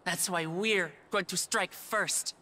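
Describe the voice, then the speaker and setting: A woman playing a resolute young ruler in a video game cutscene, addressing grieving people with solemn, earnest, measured oratory. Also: fairly low pitch, pushed and bright. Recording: background music under the voice.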